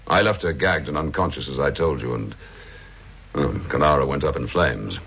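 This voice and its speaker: An actor playing a humane, hard-hitting Scotland Yard detective, brisk and thoughtful, in 1960s radio drama style. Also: male voice, rumbling low, gravelly, fairly bright tone, husky, heavily acted. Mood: considered. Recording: muffled vintage radio recording.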